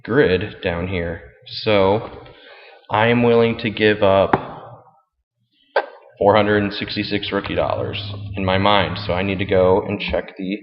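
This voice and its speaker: Low-voiced man in a muffled, echoey recording. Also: fairly even intonation, a little slow. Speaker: fantasy football league commissioner. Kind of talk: casual screen-share tutorial, explaining step by step. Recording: muffled, boomy room with long reverb.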